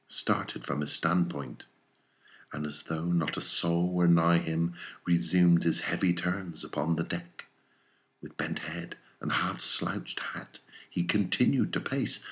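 A man narrating a literary audiobook in a measured, deliberate and theatrical manner. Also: low-pitched, bright, forceful tone.

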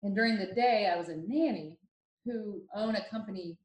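A woman's voice: mid-range voice, moderate pace. Chatty woman in an echoey room. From Ohio, USA. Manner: chatty and animated, many fillers and restarts. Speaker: podcast guest. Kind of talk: casual storytelling interview. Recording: roomy echo.

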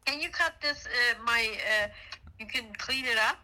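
A middle-aged woman with a very bright, forward voice speaks casually. She is unpolished and a bit hesitant, searching for words as she explains things. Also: fairly high pitch, moderate pace, rough recording. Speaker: host's mother, a guest. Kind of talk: casual chat.

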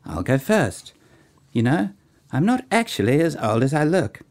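A man's voice: fairly high pitch, big pitch swings, moderate pace, a little mellow. Man putting on a coaxing motherly older woman, swooping voice. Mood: soothing, confiding. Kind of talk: radio play acting.

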